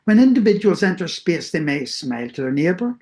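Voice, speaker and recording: A middle-aged therapist with a Northern Irish accent giving an academic workshop presentation in an earnest, explanatory way, often pausing to correct themselves. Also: medium pitch, very animated, a little mellow. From Northern Ireland. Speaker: therapist presenting doctoral research.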